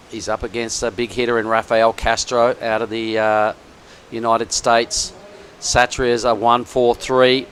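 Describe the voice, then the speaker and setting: Man, surf commentator, live sports call, excitable, mid-pitched, faint hiss. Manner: excitable, chatty colour commentary.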